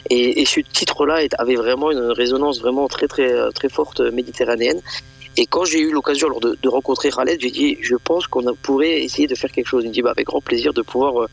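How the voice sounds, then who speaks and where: A man's voice: mid-range voice, fairly even intonation, fast, gravelly. A man from eastern France, a radio guest and event organizer, speaking hesitantly with many fillers and repeated words. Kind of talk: radio interview.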